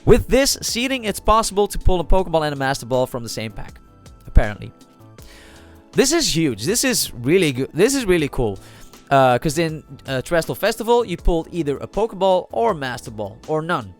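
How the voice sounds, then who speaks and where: A man's voice: fairly high pitch, sing-song, brisk, faint music behind. A man with a cold and a slightly nasal voice, talking casually over a card video and thinking aloud with many fillers and restarts. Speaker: trading card channel host.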